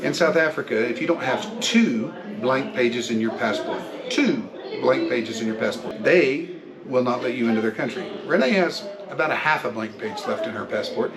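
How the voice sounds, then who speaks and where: A man's voice: medium pitch, animated, normal speed, a crowd in the background. A man vlogger explaining a situation to camera in an emphatic way, repeating key phrases. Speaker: travel vlogger. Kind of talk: explanatory vlog talk to camera.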